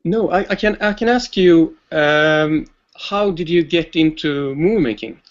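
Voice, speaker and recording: A man's voice: fairly high pitch, unhurried. A man joining a video call as a fan guest, giving hesitant, brief answers. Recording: dull lo-fi video-call audio.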